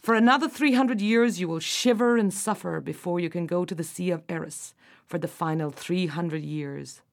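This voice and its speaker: A woman voicing a cruel, scheming witch stepmother queen in a storybook tale, speaking low, hushed and menacing. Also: moderate pace, creaky. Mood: gloating.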